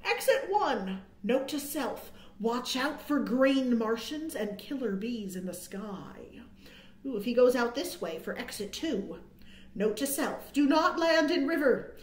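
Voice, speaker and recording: A middle-aged woman hosts a children's storytime, reading a picture book in a high voice that swings widely in pitch. She takes her time, leaning into dramatic emphasis and adding small asides for young listeners. The recording has a roomy indoor echo. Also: animated, measured pace. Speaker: children's librarian, storytime host. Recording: roomy, echoing indoor sound.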